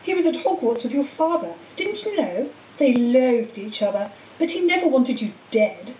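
A woman voicing a cold, treacherous male wizard teacher in an audiobook, speaking in a calm, menacing, matter-of-fact way. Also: high-pitched, moderate pace. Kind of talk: audiobook character voice. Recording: roomy echo, distant mic, faint hiss.

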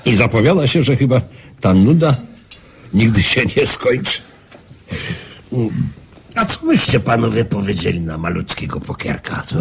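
An actor playing an excitable gentleman passenger at cards, with a theatrical radio drama delivery. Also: medium pitch, normal speed, creaky, long pauses, a little hiss or hum. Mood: bored, coaxing. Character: bored, excitable male card-playing passenger.

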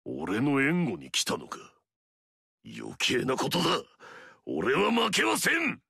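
A man's voice: mid-range voice, huge pitch swings, slow, vocal fry, bright, forceful tone, slightly rough, dry recording, studio quality, exaggerated voice. An actor playing an arrogant, fierce male warlord in a video game, swaggering and barking battle cries.

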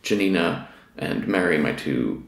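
A male interview guest with a very low voice and plenty of vocal fry. He chats affably, feeling his way through each thought, in a room with noticeable echo. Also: expressive, unhurried. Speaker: podcast guest, fiction writer. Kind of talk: casual interview conversation.

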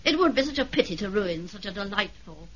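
An actress playing a coldly polite aristocratic villainess in a radio drama, commanding and courteous. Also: female voice, medium pitch, expressive, fast talker, fairly bright tone, natural voice. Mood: icily polite. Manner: genteel, commanding, icily courteous. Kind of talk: 1970s radio drama acting. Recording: dull 1970s radio broadcast recording.

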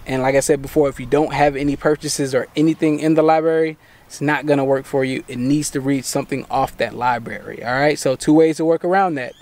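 A man's voice: medium pitch, fairly even intonation, fairly quick, a little mellow. A man in his thirties hosting a tech channel, patiently walking viewers step by step through a fix while sitting in a parked car. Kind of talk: casual how-to walkthrough. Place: inside a parked car, daytime.

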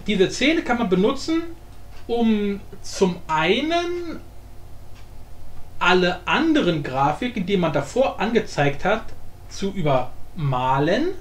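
High-pitched man, lively tutorial voice. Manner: informal, explanatory, often adds tag questions. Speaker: tutorial host. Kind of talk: casual screen-recorded software tutorial. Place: indoor room, voice-over of screen recording. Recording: roomy echo with faint background noise.

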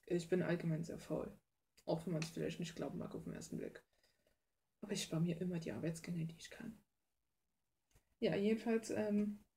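A woman's voice: medium pitch, darkish tone. A young woman rambles casually through her art process, informal and self-deprecating with some mild crudeness. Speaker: hobby artist and video host. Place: small cluttered room at home, indoors.

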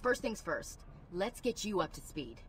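An actress playing a brisk, confident female military officer in a video game. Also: mid-range voice, very animated, a little hiss or hum. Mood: brisk, assured.

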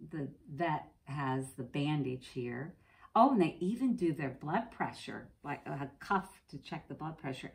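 Lively, low-pitched American woman reading to children. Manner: simple explanations, addressing children. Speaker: preschool teacher. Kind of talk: reading a picture book aloud to young children, with explanatory asides. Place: indoors, classroom.

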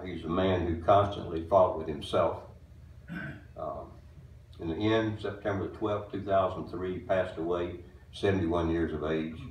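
A man in his eighties with a deep voice, talking plainly to an audience from a small stage, halting with many uhs. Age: 80s. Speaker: bandleader introducing a tribute show. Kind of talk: casual stage talk to an audience. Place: small wooden-walled music barn with audience. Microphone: far camera recording a stage mic. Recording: roomy hall sound from far off.

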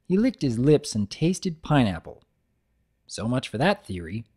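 A storyteller reads fan fiction dramatically. The narration moves over a lively pitch range. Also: a little slow, breathy edge.

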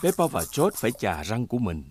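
A man's voice: mid-range voice, wildly swooping pitch, fairly quick. A man narrating a children's cartoon as a friendly storyteller, over music. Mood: storytelling.

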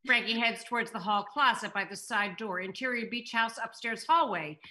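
Bright-voiced older woman reading stage directions. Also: on the high side, moderate pace. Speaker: table read host reading stage directions. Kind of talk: scripted narration. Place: room at home, on a video call. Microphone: computer mic on a video call.

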